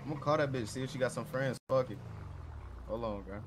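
Man, medium pitch, quick slangy chat, clear vehicle noise behind. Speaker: player in an online role-play game.

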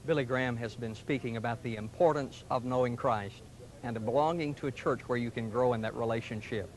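A middle-aged man, a pastor, speaking in an earnest, gentle, inviting tone in scripted television ads from the 1980s. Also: mid-range voice, brisk, low-quality recording. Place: studio sets and church rooms, indoors. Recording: 1980s local TV ad audio.

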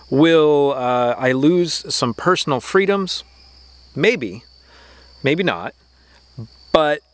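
A man's voice: fairly high pitch, a bit bright, dry recording. A man in his thirties, host of a homesteading channel, talking earnestly to the camera in his backyard garden with a preachy, exhortative manner and emphatic repetition. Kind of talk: earnest faith-based vlog talk to camera.

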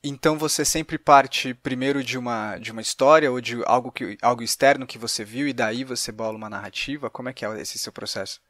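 A man with a Brazilian accent speaks as a podcast interviewer in a conversational style. His pitch is average and the sound is dry, with no room on it. He works through his thoughts at length on the way to each question. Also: brisk, hardly any pauses, fairly bright tone, dry recording.

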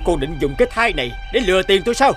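A man's voice: sits high, animated, rapid-fire, music in the background. A man voicing a defensive young husband in a cartoon, speaking bluntly. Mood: angry. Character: young husband, guilty then angry. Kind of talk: cartoon dub acting.

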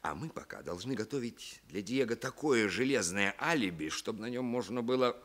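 An actor playing a shrewd, influential schemer in a 1960s Soviet radio drama, speaking emphatically and deliberately. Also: male voice, mid-range voice, lively intonation, a bit bright. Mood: forceful, scheming. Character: shrewd, influential man plotting a cover-up.

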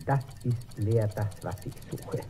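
A man's voice: fairly low pitch, measured pace, mellow, slight background noise, close to an everyday voice. An actor playing a hesitant, choosy male customer in a children's radio play.

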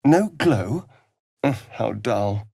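An actor playing a vain, sardonic, centuries-old vampire spawn in a video game, with an arch, drawling, flamboyant delivery. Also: male voice, lowish voice, lively intonation, drawn-out words, gravelly, dark tone, slightly rough, dry recording, clean studio sound, full character voice. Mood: disdainful. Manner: arch, drawling, swinging from purrs to shrieks. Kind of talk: theatrical video game character acting.

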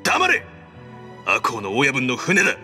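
Forceful, gruff pirate. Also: male voice, medium pitch, huge pitch swings, measured pace, exaggerated voice. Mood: furious, resolute. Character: gruff, determined male pirate. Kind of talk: Japanese game voice acting. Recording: long reverb, music underneath.

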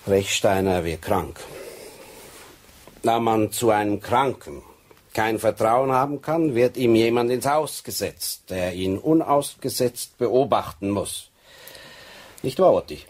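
An actor playing a methodical police sergeant in a radio crime drama, speaking deliberately and insistently with a husky voice. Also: male voice, on the low side, a little mellow. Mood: methodical, pointed. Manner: deliberate, insistent, explaining step by step. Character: methodical Swiss police sergeant laying out a case.

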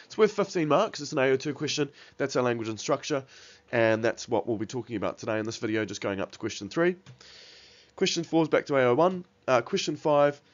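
A man's voice: mid-range voice, muffled recording. A man with a cold and a somewhat nasal voice, giving an exam revision lesson over slides, with many ums and uhs. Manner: many fillers like um and uh, restarts. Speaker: teacher.

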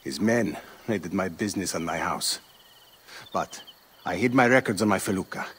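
An actor playing a boastful, embittered harbor master and smuggler in a video game, husky and indignant. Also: male voice, low-pitched, normal speed, a bit bright, long reverb tail, slight background noise. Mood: anxious, bitter. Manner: theatrical, indignant. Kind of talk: video game cutscene acting.

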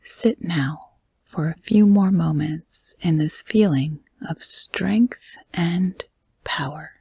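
Breathy, whispery low-voiced woman guiding meditation. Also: dry recording. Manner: calm, gentle, measured phrases with long pauses. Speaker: guided meditation leader. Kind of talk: guided meditation, slow soothing narration.

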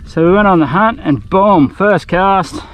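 A man's voice: high voice, a little slow, noisy background. Laid-back Australian man fishing from a boat. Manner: laid-back, chatty, swears casually. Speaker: fishing vlogger. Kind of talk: casual outdoor narration to camera. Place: small boat in a mangrove creek outdoors. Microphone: action camera on the boat.

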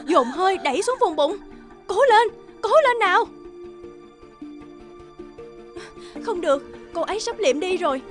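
Concerned female cartoon medic, high voice, earnest, light music behind. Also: expressive, a little fast. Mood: urgent. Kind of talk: dubbed cartoon drama acting.

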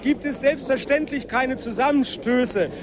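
Very high-voiced male tram official. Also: measured pace. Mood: earnest, explanatory. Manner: explanatory, repeats himself, formal. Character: male official explaining a historic tram line to press. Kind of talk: 1970s German radio play, staged interview. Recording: busy outdoor crowd and vehicle noise.

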